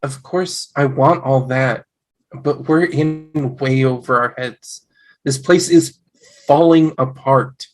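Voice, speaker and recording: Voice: medium pitch, takes it slowly, soft background music, close to an everyday voice. Someone playing a skeptical, frustrated young husband in a conversational table read over a video call. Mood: exasperated.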